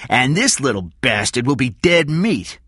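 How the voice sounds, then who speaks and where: A man's voice: medium pitch, big pitch swings, unhurried, fairly bright tone, slightly rough, no room sound, studio quality, voice pushed up, exaggerated voice. A man voicing a menacing male kidnapper with a sneering, bullying manner. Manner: sneering, threatening, mock-sweet taunts.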